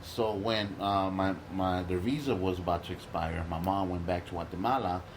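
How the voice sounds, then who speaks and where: A young man with a fairly low voice, talking as a comedy guest in a relaxed, unscripted way. He swears freely and punches his points with sudden loud, excited leaps in pitch. The flow is emphatic and raw. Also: normal speed, background noise. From Los Angeles, United States. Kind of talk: casual interview talk.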